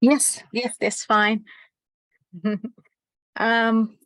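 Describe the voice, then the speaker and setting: A woman's voice: on the high side, flat delivery, extremely slow, a bit bright, no echo, poor audio. A friendly woman from Portugal joins a webinar by video call from her home at night and asks her question with many hesitant "um"s. The sound is dry and close. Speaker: webinar participant asking a question.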